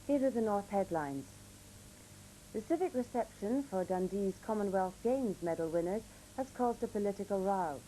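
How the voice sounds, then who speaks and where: Casting: female regional news and weather reader, formal, measured, soft mellow tone, slightly noisy old tape. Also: medium pitch, animated. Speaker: newsreader.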